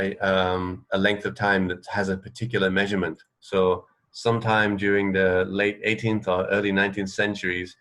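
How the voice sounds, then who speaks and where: A man's voice: sits low, fairly bright tone. A man co-hosting a radio show, chatting casually about trivia in a hesitant, mildly wry way. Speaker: radio co-host. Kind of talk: casual chat, sharing trivia.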